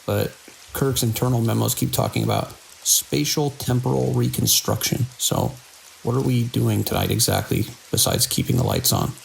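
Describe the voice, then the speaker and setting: An actor playing a weary, watchful security chief in an audio drama, speaking in a dry, measured way. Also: male voice, medium pitch, very animated, vocal fry, rough recording. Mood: curious. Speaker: security lead. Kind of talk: audio drama, logs and radio dialogue. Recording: hiss and rain-like noise.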